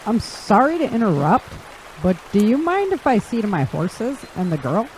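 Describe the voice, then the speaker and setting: Woman acting determined female traveler, animated mid-pitched voice, earnest, firm, audio drama, faint water ambience. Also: normal speed, slight background noise, natural voice, poor audio. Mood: earnest, wary. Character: determined, wary young female traveler warning strangers.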